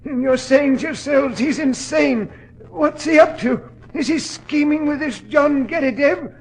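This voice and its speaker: An actor playing an eccentric, frail old British recluse in 1940s radio style, with a husky, quavering delivery. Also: male voice, very high-pitched, unhurried. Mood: agitated, accusing. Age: older. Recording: vintage radio drama recording.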